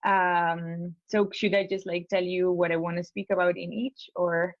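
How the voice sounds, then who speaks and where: A woman's voice: on the low side, flat delivery, normal speed, dry recording, highs cut off. A young woman from Spain, a law school applicant, talking through her plans in a casual consultation call, hesitant with many fillers and restarts.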